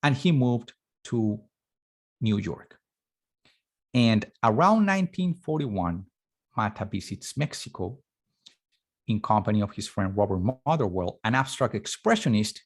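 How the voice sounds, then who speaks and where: Lively male art lecturer. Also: mid-range voice, breathy edge. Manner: animated, thinking aloud with fillers and self-corrections. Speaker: art talk presenter.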